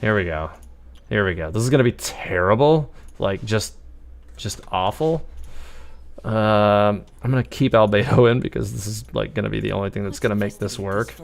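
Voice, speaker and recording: A man with a fairly low voice streams a video game live. He talks casually and rambles along, thinking out loud as he plays. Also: normal speed, creaky. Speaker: game livestreamer. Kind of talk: casual live gameplay commentary.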